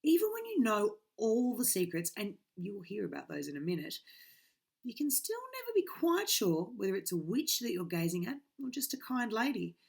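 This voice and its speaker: Dramatic, gravelly woman reading aloud. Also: medium pitch, big pitch swings, moderate pace. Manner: dramatic, swinging from loud to hushed. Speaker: reader. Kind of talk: reading a children's book aloud. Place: small bedroom indoors. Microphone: webcam.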